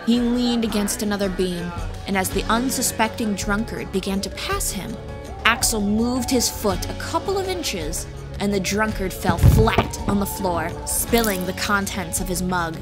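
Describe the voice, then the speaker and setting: A woman's voice: medium pitch, unhurried. A woman reading scripted fantasy audiobook narration as the storyteller. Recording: music bed behind voice.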